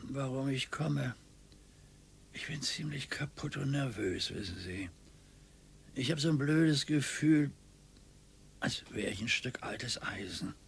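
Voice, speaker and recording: A man's voice: mid-range voice, animated, slow pace, pauses often, full character voice. An actor playing a nervous, worn-out retired man of sixty-eight in a radio play, grumbling and weary, sometimes flaring into quarrels. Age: older. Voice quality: gravelly, husky.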